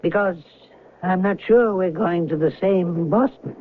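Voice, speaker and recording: A thin vintage radio recording with faint hiss carries a mild history teacher. His high male voice is courteous and reserved, guarded and a touch hesitant. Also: a little slow, phone-quality sound. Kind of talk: 1970s radio drama acting.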